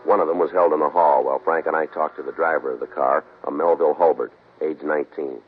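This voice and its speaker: A man's voice: a bass voice, quick pace. A man playing a clipped, matter-of-fact police detective who narrates and questions a suspect in a 1950s radio crime drama. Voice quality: terse, flat, matter-of-fact. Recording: tinny old radio broadcast, faint hiss.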